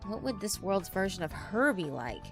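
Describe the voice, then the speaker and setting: A woman's voice: medium pitch, sing-song, moderate pace, music in the background. A woman reading dramatic scripted narration for a visual novel.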